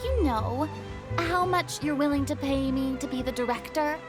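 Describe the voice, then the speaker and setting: A woman's voice: sits high, normal speed, lightly acted. A woman voicing a vain, theatrical young star who talks in a haughty, dramatic, self-important way, in a video game. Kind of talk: game voice acting. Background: game music.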